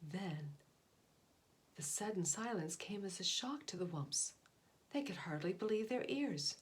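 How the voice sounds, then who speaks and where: A woman's voice: medium pitch, expressive, a little slow, husky. A woman narrating a children's picture book aloud in a dramatic, emphatic way. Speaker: storybook reader.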